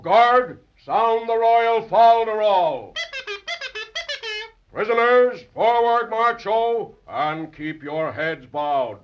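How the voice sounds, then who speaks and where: An officious fantasy guard captain speaks on a dull-sounding old radio recording. His voice sits fairly high. He is pompous and formal, barking like a drill officer in broad 1930s serial style. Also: expressive, drawn-out words, a little hiss or hum, exaggerated voice. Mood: commanding.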